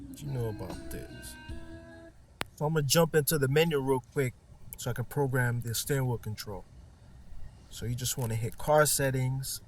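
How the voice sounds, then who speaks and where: A man's voice: medium pitch, a little hiss or hum. A man giving a casual, hands-on installation tutorial in an informal, slangy, playful way. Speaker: car audio installer demonstrating a product. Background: music from a car stereo.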